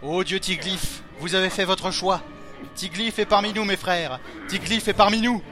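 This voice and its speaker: An actor playing a fanatical, smug cult leader in a French fantasy audio drama, with a pompous, ceremonial and mockingly courteous manner. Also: male voice, sits high, fairly even intonation, normal speed, bright, forceful tone. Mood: reverent. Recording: music under the voice.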